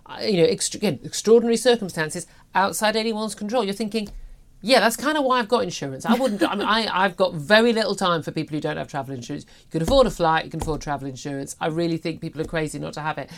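British woman, fifties, slightly low-pitched, emphatic, chatty, opinionated talk radio host. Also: fast talker. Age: 50s. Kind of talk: opinionated news discussion.

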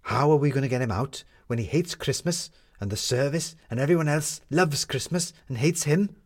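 A man voicing a brisk, determined young woman reporter in a storybook, challenging others with emphatic questions. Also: medium pitch, a little mellow, pitched above the performer's own voice, lightly acted. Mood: rallying. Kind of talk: storybook character dialogue.

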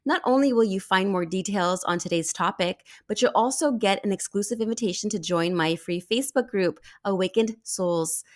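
A woman in her forties hosting a podcast, chatting casually, bubbly and quick to laugh. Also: medium pitch. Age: 40s. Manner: bubbly, laughs often, lively exclamations. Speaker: podcast host. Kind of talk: casual interview chat.

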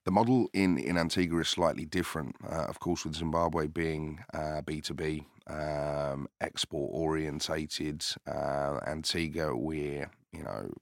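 An extremely deep-voiced man who grew up in the UK speaks in a flat, level tone with a gravelly edge. He answers interview questions in a measured, hesitant way, filling pauses with ums. The recording is dry and close. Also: no echo. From United Kingdom. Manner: measured and hesitant, many filler sounds. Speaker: company founder being interviewed. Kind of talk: business podcast interview.

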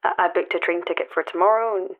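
An actress playing a restless, anxious, sleepless woman in a naturalistic audio drama, speaking haltingly over the telephone. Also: on the low side, fairly even intonation. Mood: quietly determined. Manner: hesitant, halting, natural phone chat. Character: restless, anxious, sleepless young woman set on climbing a tower. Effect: telephone filter. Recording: thin, narrow telephone line.

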